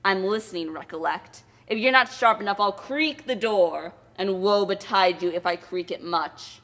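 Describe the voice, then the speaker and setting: A woman's voice: mid-range voice, normal speed, big-room sound, lightly acted. A woman voicing a cruel male dwarf who barks orders and threats at his wife in a storybook reading. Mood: menacing. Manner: loud, commanding, threatening.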